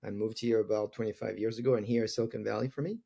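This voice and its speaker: Male interview guest, 40s, French accent, dark gravelly timbre, reflective. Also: lowish voice, fairly even intonation, very fast. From France. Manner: earnest, reflective, laughs easily. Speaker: guest, tech executive. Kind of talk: conversational interview answers.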